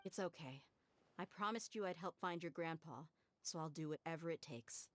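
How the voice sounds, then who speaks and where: An actress voicing a bold young female adventurer in a video game, steady and wry. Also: low voice, moderate pace, lightly acted. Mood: resolute.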